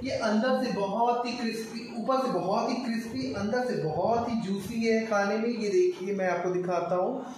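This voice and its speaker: A man's voice: high voice, normal speed. Young male home cook, informal tutorial narration peppered with English words, heavy room echo, faint noise behind. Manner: instructional, step by step, mixes English words into speech. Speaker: home cook, recipe host. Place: home kitchen, indoors. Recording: echoey, distant mic, faint kitchen noise.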